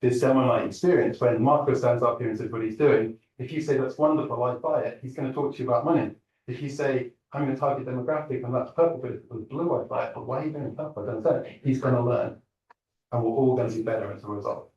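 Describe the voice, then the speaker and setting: A man's voice: mid-range voice, quick pace, a little mellow, lo-fi sound. A man hosting a startup demo night, talking to a live audience in an upbeat, joking way from a stage. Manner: upbeat, joking, addresses the crowd. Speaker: event host. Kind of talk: event talk to a live audience. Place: large lecture hall stage. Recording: roomy hall from a distance.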